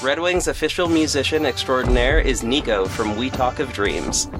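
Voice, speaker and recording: A man's voice: medium pitch, moderate pace. A man who writes, directs and produces the show, reading its closing credits in a plain, friendly way. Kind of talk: credits read for a podcast. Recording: clear music bed.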